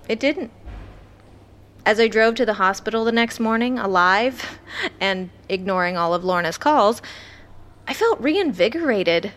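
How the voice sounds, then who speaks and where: An actress playing a self-possessed woman doctor in an audio drama, telling a horror story in an intense, deliberate, first-person monologue. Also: mid-range voice. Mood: cool resolve. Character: ambitious woman ER doctor recounting terror, cold and self-possessed. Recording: loud music bed under the voice.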